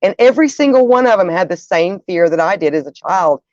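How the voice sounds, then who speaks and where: A woman's voice: fairly low pitch, lively intonation, brisk, darkish tone, no echo. A middle-aged woman hosting a livestream, chatting casually and musingly, speaking straight to her listeners. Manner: conversational, musing, addresses listeners directly. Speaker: livestream host. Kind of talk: casual livestream chat, reading out viewer comments. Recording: dull, dry lo-fi audio.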